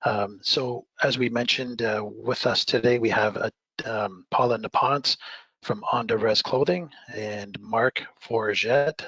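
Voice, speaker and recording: A man moderates an online panel, hesitant and full of ums. His voice is gravelly and husky. The sound is dry and dull, like a lo-fi remote call. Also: mid-range voice, a bit bright, no room sound. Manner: hesitant, with many ums and uhs. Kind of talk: conference moderating.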